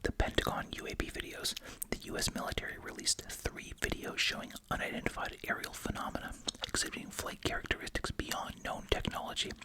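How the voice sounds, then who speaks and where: An ASMR host speaking in a breathy, unvoiced whisper, reading aloud and musing casually. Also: low-quality recording.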